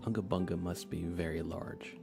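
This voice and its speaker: Low, gravelly man murmuring over game music. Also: fairly even intonation, moderate pace. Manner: low-key, partly whispered, chatting to viewers. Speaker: live streamer. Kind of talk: soft-spoken ASMR game stream chat. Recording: loud game music behind the voice. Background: video game music.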